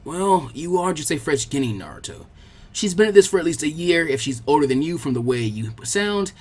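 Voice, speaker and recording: A man voicing an aged village leader, kindly but gently stern, in measured grandfatherly tones for a fan fiction audiobook. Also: on the high side, big pitch swings, slightly rough. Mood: patient, instructive.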